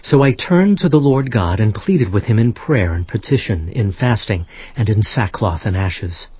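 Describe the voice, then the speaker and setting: An actor playing an earnest, solemn prophet, narrating dramatized scripture expressively. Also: male voice, lowish voice, animated, normal speed, slightly rough. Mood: penitent, earnest. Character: prophet Daniel, earnest solemn man recounting visions. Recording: dull audio under background music.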